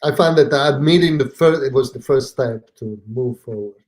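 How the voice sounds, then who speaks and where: A man from Italy with an Italian accent, a podcast guest talking casually and haltingly, with many fillers and restarts. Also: medium pitch, darkish tone. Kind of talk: casual conversation.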